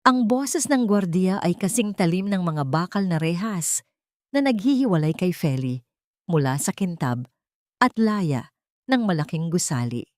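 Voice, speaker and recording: A Filipino woman reads a dramatic story in a lowish voice. Her delivery is measured and solemn, and the recording is dry and close, with very little room sound. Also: no echo. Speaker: audiobook narrator. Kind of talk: dramatic scripted story narration.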